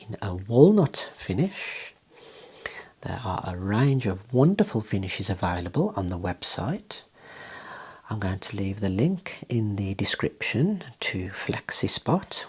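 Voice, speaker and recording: A soft-spoken, whispery ASMR voice in a role play as an eye clinician, gently and deliberately explaining equipment. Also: deep voice. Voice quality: breathy, whispery.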